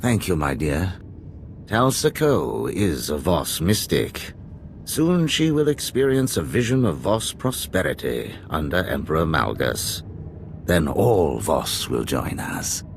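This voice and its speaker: Haughty male Sith villain, very deep, swooping delivery, mock-polite, video game cutscene. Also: very animated, slight background noise, heavily acted. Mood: smug menace. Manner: slow, grandiose, mock-polite. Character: haughty male Sith lord, smug right hand to a usurper.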